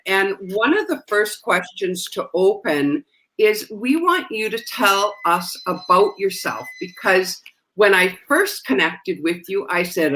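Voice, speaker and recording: Bright-voiced woman host. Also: medium pitch, low-quality recording. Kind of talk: casual interview hosting. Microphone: video call.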